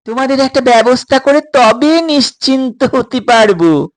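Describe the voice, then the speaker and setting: High, sly, chuckling stranger. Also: male voice, lively intonation. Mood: jovial, teasing. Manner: drawn-out, wheedling delivery with twisting chuckles. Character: sly, jovial Chinese stranger luring young boys.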